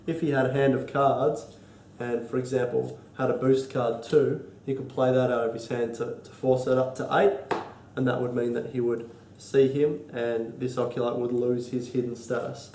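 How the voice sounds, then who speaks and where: Aussie guy with a cold explaining game rules, stuffy and ummy, mellow voice, roomy echo. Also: mid-range voice, level tone, slight background noise. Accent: Australian. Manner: halting, many ums and restarts. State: congested from a cold. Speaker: board game designer demonstrating rules. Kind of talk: casual tabletop rules walkthrough.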